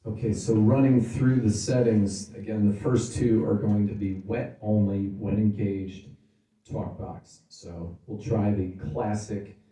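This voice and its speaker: A man with a fairly low, somewhat mellow voice talks through a product demo. His delivery is informal and explanatory, like a gear reviewer chatting to viewers. The sound is noticeably roomy. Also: steady tone, measured pace. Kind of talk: casual product demo talk.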